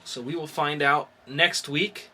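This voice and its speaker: A man's voice: a little high, steady tone, a little slow, bright, forceful tone. A man co-hosting a podcast in casual, informal banter. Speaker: podcast co-host.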